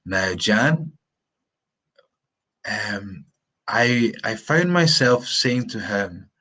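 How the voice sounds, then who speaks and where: Scottish man, English teacher, live stream, low voice, unhurried, repeats himself. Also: lively intonation, sharp tone. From Scotland. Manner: chatty, rambling, repeats words, demonstrates sounds slowly. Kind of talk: live-streamed pronunciation lesson. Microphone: phone or webcam on a live stream.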